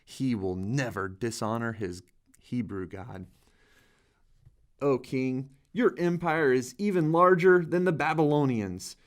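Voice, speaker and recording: A man's voice: mid-range voice, takes it slowly, close to an everyday voice. Man playing a scheming young royal official. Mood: resentful plotting.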